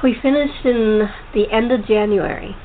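A woman hosting a casual interview, speaking haltingly, often breaking off and repeating words. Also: on the high side. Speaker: host of a talk show. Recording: muffled tinny phone line with faint noise.

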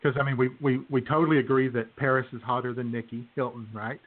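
A man's voice: medium pitch, flat delivery, fast. A man hosting a comedy podcast, chatting casually and jokily with his co-hosts in a bantering, mock-enthusiastic way. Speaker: host of a comedy radio podcast. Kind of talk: casual jokey chat with co-hosts. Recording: tinny phone-like band-limited sound.

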